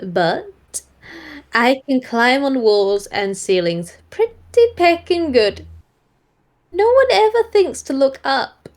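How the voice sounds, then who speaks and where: A woman's voice: high-pitched, sing-song, slow, exaggerated voice. A woman playing an eager, playful female monkey detective who mentors an apprentice and talks in folksy mild oaths. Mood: boastful, playful.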